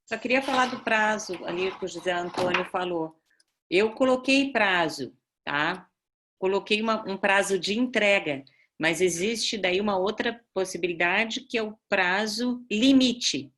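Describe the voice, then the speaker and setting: Mid-pitched Brazilian woman explaining. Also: unhurried, fairly bright tone. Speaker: teacher explaining deadlines. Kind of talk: informal online meeting talk.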